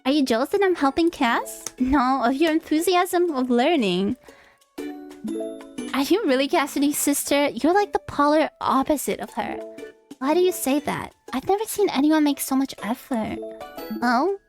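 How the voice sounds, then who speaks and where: A woman with a high voice is streaming a visual novel playthrough. She reads the text aloud with big swings in pitch and expressive, dramatic reactions, over faint background music. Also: normal speed. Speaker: streamer, VTuber.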